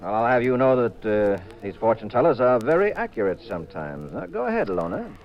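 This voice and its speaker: An actor playing a skeptical, teasing detective husband with dry delivery in a 1950s radio drama, muffled with age. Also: male voice, mid-range voice, big pitch swings. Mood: sardonic, teasing. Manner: dry, sardonic, brisk. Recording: muffled vintage 1950s radio recording with music.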